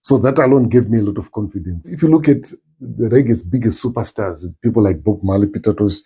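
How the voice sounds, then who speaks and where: A middle-aged man with a strong Zimbabwean accent, answering interview questions thoughtfully with many pauses and restarts. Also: fairly low pitch, warm tone, breathy edge. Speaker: interview guest, musician. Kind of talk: relaxed interview talk.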